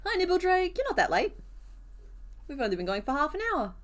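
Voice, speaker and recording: Hoarse-voiced woman chatting on a crochet stream. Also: high voice, sing-song, fairly quick. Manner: chatty, answers viewers' comments as she works. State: rough, hoarse voice. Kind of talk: casual live-stream chat while crocheting.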